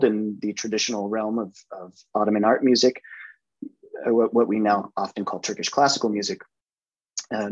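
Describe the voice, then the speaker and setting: Husky low-voiced man giving a lecture. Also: highs cut off. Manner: halting, many fillers and self-corrections. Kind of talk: academic lecture with slides.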